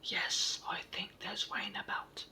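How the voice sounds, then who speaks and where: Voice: slow pace, sharp tone. A reader whispering the part of a deaf, cross little saucepan man in a storybook. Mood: muddled.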